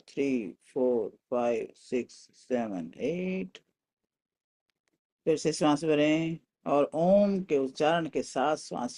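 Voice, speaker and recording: A man with an Indian accent guiding a meditation as a teacher, calmly giving short soothing instructions with pauses between them. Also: medium pitch.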